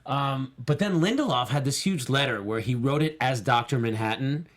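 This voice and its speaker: A man's voice: medium pitch, normal speed. A middle-aged man co-hosting a casual panel podcast in a small studio, speaking enthusiastically into a close mic. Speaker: podcast co-host. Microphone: close studio mic with foam cover.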